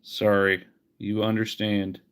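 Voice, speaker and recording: A man's voice: on the low side, little pitch movement, slow, fairly bright tone, clean tone. A man livestreaming as he cleans an old handheld console, chatting casually with wry, mock-disgusted asides and sounding a bit sniffly. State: sniffly from allergies. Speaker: livestreamer repairing retro handhelds. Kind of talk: casual live-stream chat while working.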